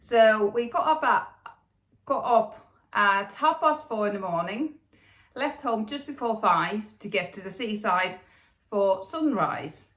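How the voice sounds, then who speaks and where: A woman's voice: mid-range voice, lively intonation, slow pace, fairly bright tone, echoey room. A middle-aged woman from Finland, chatting casually as host of a knitting podcast, with lots of fillers and restarts. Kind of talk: casual chat to camera.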